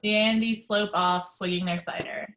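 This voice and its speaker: A woman reading the action description at a video call table read in an even, measured way. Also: medium pitch, poor audio. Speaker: narrator reading stage directions.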